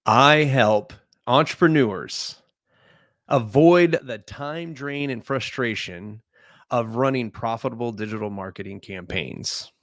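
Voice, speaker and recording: A man from the US West Coast, a guest in a casual interview, easygoing and quick to laugh. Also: mid-range voice, a bit bright, no echo, clean studio sound.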